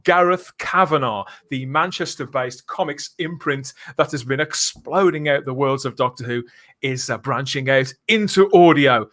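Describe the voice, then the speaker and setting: A man hosting a live podcast, presenting in an excitable, showman-like way. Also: mid-range voice, normal speed. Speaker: podcast host.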